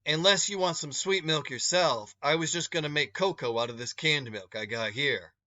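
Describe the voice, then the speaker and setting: A man's voice: fairly high pitch, pushed and bright. Man voicing a folksy, emphatic, stern motherly woman. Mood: kindly. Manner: folksy, emphatic, drawn-out scolding. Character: stern, motherly large older woman, scolding but kind. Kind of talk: storybook read-aloud character.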